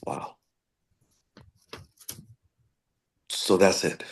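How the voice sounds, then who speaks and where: A man's voice: unhurried, natural voice. A man reading the part of an exhausted, wounded husband confronting his wife at a drama table read. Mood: bitter, resigned.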